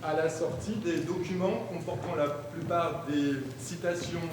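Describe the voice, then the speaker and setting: A man on stage reading grammar examples and old texts aloud in a deliberate, declamatory way, in a live performance. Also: on the high side, steady tone, background noise. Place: large hall, live performance. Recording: echoey hall from far off.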